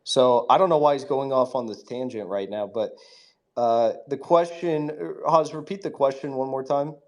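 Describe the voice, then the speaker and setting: A man's voice: medium pitch, normal speed, darkish tone. A man informally moderating a live online debate, speaking in a conversational way. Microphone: online voice chat mic.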